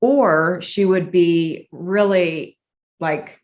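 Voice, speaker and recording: A woman with a lowish voice, a clinician telling her own history in an interview. She talks in an earnest, measured way and pauses to reflect as she goes. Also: darkish tone, muffled recording. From Massachusetts, USA. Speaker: guest, clinician. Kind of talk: personal storytelling in an interview.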